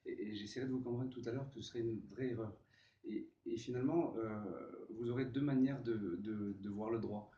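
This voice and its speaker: A hoarse man with a cold, speaking at a round table with many restarts and hesitations. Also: mid-range voice, steady tone, fairly quick, dark tone. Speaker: panelist on legal matters. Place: conference room. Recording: echoey room, distant dull mic, faint noise.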